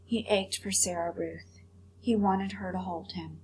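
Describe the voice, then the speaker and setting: A woman's voice: fairly low pitch, steady tone, unhurried, darkish tone, poor audio. A woman teacher reading a storybook aloud and commenting on it for pupils. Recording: clear background hum and noise.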